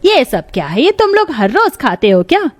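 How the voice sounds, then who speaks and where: A woman's voice: high-pitched, very animated, moderate pace. A woman voicing a stubborn, fretful elderly mother-in-law in a cartoon story, given to scolding. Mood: dismayed.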